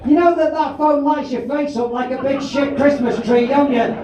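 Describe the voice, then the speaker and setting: Brash compere, high-pitched man, teasing, echoey club with crowd noise. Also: fairly even intonation, normal speed. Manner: brash, sweary, teasing the audience. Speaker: comedy compere. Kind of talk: stand-up crowd work. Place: live comedy club. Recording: echoey room, distant mic, crowd noise.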